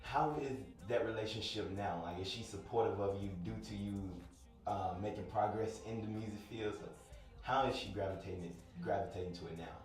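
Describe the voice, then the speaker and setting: A man's voice: lowish voice, a little fast, heavy reverb, distant mic, faint music behind. A man hosting an interview, asking friendly, rambling questions in a casual way. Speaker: interviewer host.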